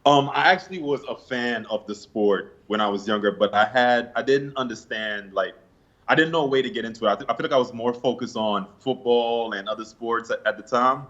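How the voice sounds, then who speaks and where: A young man from Guyana answering interview questions in a relaxed, laid-back way with hesitant fillers. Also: medium pitch, a little fast, highs cut off. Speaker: interview guest, bodybuilder.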